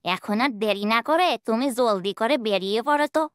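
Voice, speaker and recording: Lady doing a naggy village wife in a cartoon, slightly high pitch, chiding tone. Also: expressive, a little fast, smooth, no echo, clean studio sound, lightly acted. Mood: urging. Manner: bossy, chiding. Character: nagging wife of a poor village thief. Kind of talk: cartoon voice acting.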